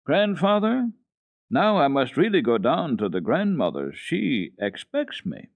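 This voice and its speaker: An eager little girl, voiced by a man for an old radio storybook. She pleads in a high, lively voice that swings up and down. The lo-fi recording is dry and a little dull. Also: unhurried, no room sound, full character voice. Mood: pleading. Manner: high, bright, insistent.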